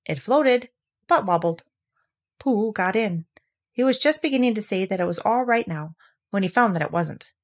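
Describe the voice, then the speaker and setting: A woman narrates a children's storybook aloud. Her pitch is medium and her delivery is expressive, as storytelling for young listeners. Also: moderate pace.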